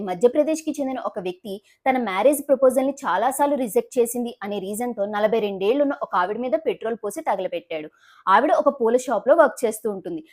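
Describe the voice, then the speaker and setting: A woman news presenter reads scripted stories in a high voice. She moves very quickly from sentence to sentence. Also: a little mellow.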